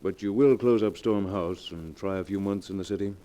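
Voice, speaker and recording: A man's voice: on the low side, a little fast, warm tone, natural voice. An actor playing a sensible, concerned male best friend in a 1940s radio drama, earnest and persuasive. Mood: earnest, reassuring. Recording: noisy old 1940s radio recording.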